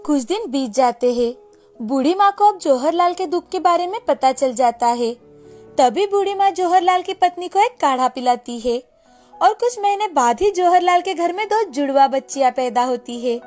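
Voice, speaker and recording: High-voiced woman telling a story. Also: expressive, normal speed, darkish tone. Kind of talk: scripted storybook narration. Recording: music playing behind the voice. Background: background music.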